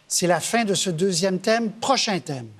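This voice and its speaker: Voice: mid-range voice, unhurried, noisy background. Formal debate moderator voice. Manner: crisp, formal announcing.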